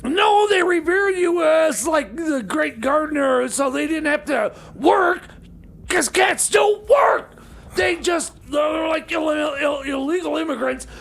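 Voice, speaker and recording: A man voicing an excitable, aggrieved time machine mechanic in comedy radio theater, stammering and repeating himself indignantly. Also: slow, raised voice, full character voice. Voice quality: creaky, high voice. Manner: stammering, repetitive, indignant outbursts.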